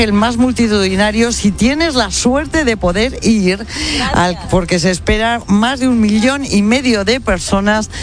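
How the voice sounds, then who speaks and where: A man reporting live for radio from a street event, chatty and addressing listeners directly. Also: high-pitched.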